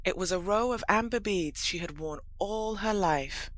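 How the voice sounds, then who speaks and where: A woman's voice: mid-range voice, moderate pace, a bit bright. A woman narrating a scripted children's story for an audio play.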